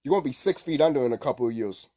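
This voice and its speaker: A man's voice: medium pitch, expressive, very fast, dark tone. A man giving a casual, unscripted opinion rant as a gaming commentator, blunt and repetitive with heavy swearing.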